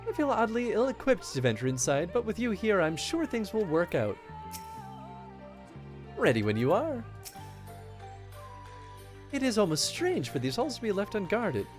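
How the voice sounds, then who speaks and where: A man's voice: sits high, huge pitch swings, normal speed, long pauses, soft background music, pitched above the performer's own voice. A man reading out the formal lines of a scholarly game character, stumbling now and then on long words. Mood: earnest. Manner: reads formal dialogue aloud, stumbling over big words.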